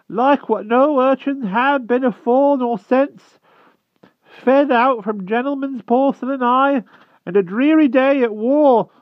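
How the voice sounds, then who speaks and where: A shrill, slow, timid old hedgehog in rustic dialect, tinny line. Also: male voice, no room sound. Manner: thick rustic country dialect, quavering and pleading. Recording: tinny phone-like dry sound.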